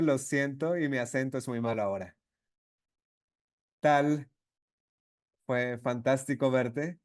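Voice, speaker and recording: A man interpreting a webinar conversation live, speaking in halting phrases with false starts and self-corrections. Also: medium pitch, a little mellow.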